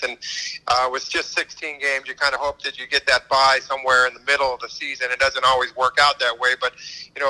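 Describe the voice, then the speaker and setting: A man's voice: a little high, bright, forceful tone, poor audio. A man co-hosting a casual football discussion as a former player, speaking conversationally from experience. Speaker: co-host, former pro football punter.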